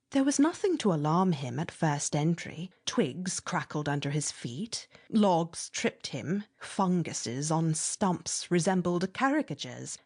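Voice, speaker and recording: A woman narrating classic literary fiction as a dramatic audiobook reading, expressive and measured, with theatrical emphasis. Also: lowish voice.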